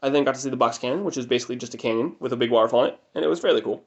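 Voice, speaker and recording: A man's voice: medium pitch, racing, lo-fi sound. A nasal young man giving a wry, rambling recap of a family trip in mock-formal wording. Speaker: vlogger.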